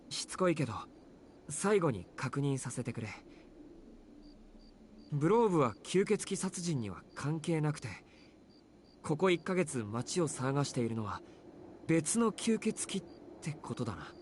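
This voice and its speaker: A man's voice: on the high side, big pitch swings, fairly quick, pauses often, a little hiss or hum. A man voicing a weary, blunt teenage boy in a visual novel game, speaking in a curt, exasperated way. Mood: earnest. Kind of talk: Japanese game voice acting.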